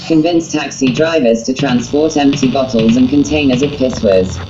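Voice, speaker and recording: A woman's voice: a bass voice, normal speed. A woman reading a wry, wordy literary story in a scripted narration style. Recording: muffled lo-fi, guitar music behind. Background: plucked guitar music.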